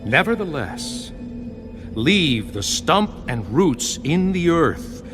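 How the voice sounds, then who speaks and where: A stern, holy heavenly being in a scripture audio drama. He declaims very slowly and portentously, his pitch rising and falling widely, with music clearly behind him. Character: holy heavenly watcher crying out a stern decree.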